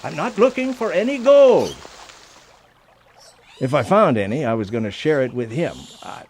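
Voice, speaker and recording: A man's voice: fairly high pitch, sing-song, normal speed, a little mellow. An actor playing an easygoing, teasing sidekick to a policeman in a radio play, with an informal delivery. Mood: teasing, playful.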